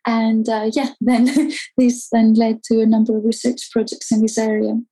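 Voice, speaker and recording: Female academic guest, Greek accent, highish mellow voice, careful hesitant explanations. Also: little pitch movement, moderate pace, poor audio. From Greece. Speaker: academic researcher, interview guest. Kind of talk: thoughtful conversational explanation.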